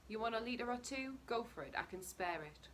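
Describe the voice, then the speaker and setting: A woman's voice: a little high, animated, moderate pace, a bit bright, slight background noise, voice pushed up. A woman reading as a numb, weary middle-aged husband and father, laconic and guarded, in a storybook narration. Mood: bluffing.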